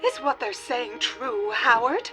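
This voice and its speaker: Woman playing a high-voiced, melodramatic fiancée. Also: sing-song, slow pace, over music. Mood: shocked. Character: betrayed young fiancée, president's daughter, melodramatic. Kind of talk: comedic radio play acting.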